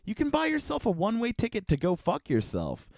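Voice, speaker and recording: A man's voice: high-pitched, huge pitch swings, brisk, creaky, darkish tone, no echo, studio quality, voice pushed up, full character voice. A man voicing a young woman in a comedic reading of text messages, mock-sweet and dismissive.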